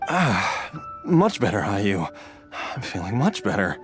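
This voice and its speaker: A man voicing a middle-aged father recovering from illness, grateful and friendly, over soft game music. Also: on the low side, big pitch swings, takes it slowly. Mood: relieved. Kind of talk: game voice acting.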